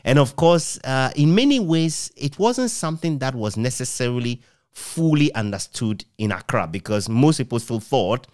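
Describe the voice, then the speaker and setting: A middle-aged Ghanaian man interviewing conversationally, asking long, measured, reflective questions. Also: mid-range voice, expressive, a little mellow, no room sound, studio quality. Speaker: interviewer, author. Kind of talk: conversational radio history interview.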